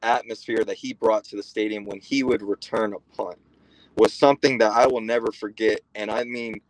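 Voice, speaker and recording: A man's voice: mid-range voice, no room sound, rough recording. A man of about thirty from Florida, a guest analyst talking football with enthusiasm and some repeated starts. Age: around 30. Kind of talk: casual sports podcast chat.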